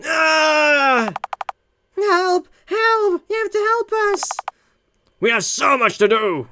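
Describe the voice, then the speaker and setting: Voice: high voice, sing-song, slow pace, background noise, voice pushed up, heavily acted. A game player voicing a desperate, frantic middle-aged father in a visual novel. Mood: frantic, pleading.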